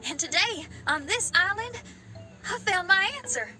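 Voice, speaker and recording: A young rock musician in a video game, passionate and confident. Her voice is high, with music playing clearly behind it. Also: lively intonation, moderate pace, pushed and bright, exaggerated voice. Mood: heartfelt, confident. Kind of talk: English video game voice acting.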